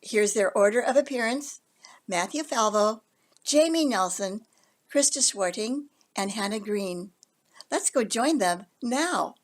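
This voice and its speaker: A woman's voice: mid-range voice, expressive, a little slow. A woman presenter giving a prepared announcement to introduce a play.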